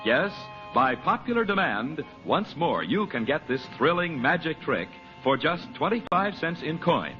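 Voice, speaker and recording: A man pitching a mail-in offer as a scripted 1940s radio announcer and showman. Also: very animated, measured pace, hardly any pauses. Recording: dull vintage radio recording. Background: loud music.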